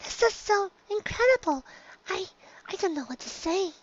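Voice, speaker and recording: A woman voicing a timid young female pegasus pony, speaking in a breathy near-whisper that trails off, on a dull lo-fi recording. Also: high-pitched, expressive, normal speed, no room sound, voice pushed up. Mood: softly thrilled. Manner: hesitant, trailing off, then gushing softly.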